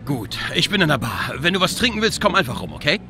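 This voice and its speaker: A man's voice: fairly high pitch, big pitch swings, fast, over music. An actor playing an easygoing, teasing young man in a video game, speaking in a casual, natural way. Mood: inviting.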